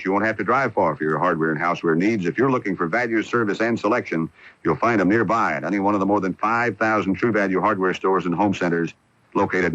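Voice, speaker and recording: A man's voice: on the low side, fairly bright tone. A man reading a scripted radio commercial as a spokesman. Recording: muffled AM radio broadcast recording.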